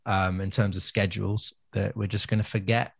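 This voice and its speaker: A man's voice: lowish voice, level tone, normal speed, creaky, husky, no room sound. A man co-hosting a podcast, chatting casually and explaining things for listeners. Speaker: podcast co-host. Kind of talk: casual chat.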